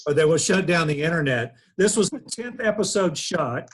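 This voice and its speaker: A man with a husky voice reads scripted stage directions aloud as narrator of a table read on a video call. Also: a little high, lively intonation, normal speed, crowd noise. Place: indoors on a group video call.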